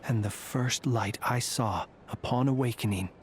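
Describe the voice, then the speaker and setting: An actor playing a calm, ancient, world-weary fae warrior in a video game, with measured, formal, reflective phrasing. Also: male voice, mid-range voice, slight background noise, natural voice. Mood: somber, reflective.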